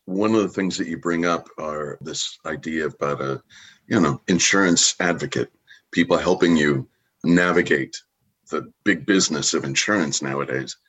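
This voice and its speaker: A man's voice: extremely low pitch, moderate pace, a bit bright, husky. A man interviewing in a conversational style, asking questions and sharing his own experience. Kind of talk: conversational interviewing with personal anecdotes.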